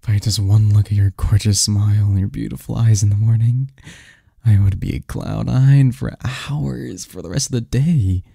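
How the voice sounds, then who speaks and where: Lovestruck young male lead, played by a man for ASMR romance roleplay: gravelly, hushed and very mellow, halting confessional delivery. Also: on the low side, fairly even intonation, dry recording, studio quality. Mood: fond, confessing. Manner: halting, breathy, murmured confession with stammers. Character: lovestruck young male research assistant confessing to his best friend. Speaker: audio roleplay performer.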